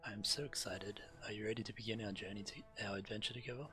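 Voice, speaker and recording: A man's voice: on the low side, fairly even intonation, very fast. A man streaming a video game, reading quest text aloud over game music.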